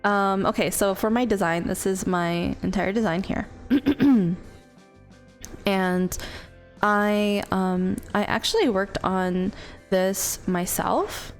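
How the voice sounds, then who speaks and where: Female streamer, casual rambling chat, unhurried, laughs often, soft music bed. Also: mid-range voice. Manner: giggly, rambling, many fillers and restarts. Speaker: vtuber streamer.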